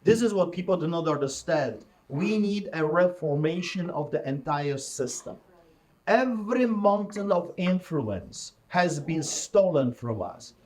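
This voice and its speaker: Fiery Polish-accented preacher, higher voice. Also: male voice, lively intonation, a little slow. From Poland. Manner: forceful, mocking, rising to shouts. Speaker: street pastor.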